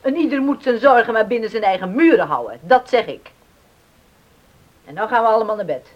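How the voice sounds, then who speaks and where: A woman's voice: fairly high pitch, animated, a little fast, highs cut off. An actress playing a blunt, devout, protective middle-aged mother in a Dutch radio drama, speaking emphatically and homely. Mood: indignant. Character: plain-spoken, devout mother, defiant and protective.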